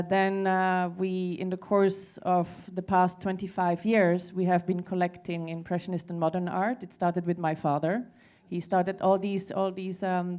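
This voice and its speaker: Halting woman speaker from Switzerland. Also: on the low side, a little mellow. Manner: hesitant, often repeating words as she searches. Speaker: panelist at a conference. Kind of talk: conversational panel discussion.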